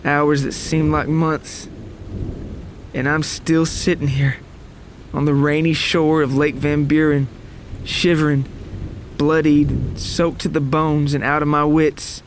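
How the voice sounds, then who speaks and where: A man's voice: on the high side, little pitch movement, takes it slowly, a little hiss or hum. A man voicing a traumatized survivor of a monster attack, narrating his horror story in a grave, literary, dramatic way. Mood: numb, haunted. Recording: music behind the voice.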